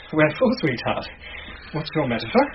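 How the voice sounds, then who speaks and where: An actor playing a gullible, vain, dim-witted gentleman knight who is earnest and eager to please, in radio Shakespeare. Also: fairly high pitch, sing-song, normal speed. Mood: puzzled. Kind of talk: BBC radio Shakespeare comedy acting. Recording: dull, noisy, clicky radio broadcast copy.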